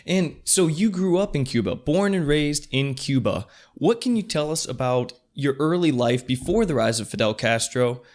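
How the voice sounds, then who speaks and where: A man's voice: fairly high pitch, expressive, moderate pace, few pauses, darkish tone. A man hosting an interview podcast, delivering introductions and putting questions to his guest. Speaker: podcast host.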